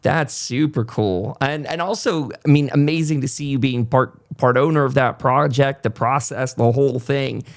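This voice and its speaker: A man speaks as a podcast host at a middling pitch. He talks in a loose, conversational interview style, effusive and wandering as he goes. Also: moderate pace, few pauses.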